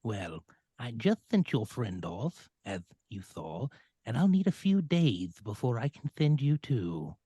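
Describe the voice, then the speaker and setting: A sinister snake in an audio drama, husky and breathy, with a slow, teasing delivery. The pitch swoops widely, and the sound is close and dry. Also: very animated, warm tone, no room sound. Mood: sly, menacing. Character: sly, menacing snake who prefers solitude.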